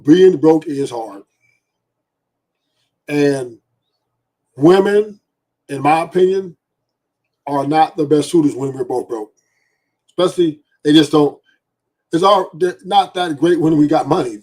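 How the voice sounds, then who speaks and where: A man on a panel, laying out his opinions in measured, drawn-out phrases. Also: a little high, a little mellow, husky. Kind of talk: casual panel debate.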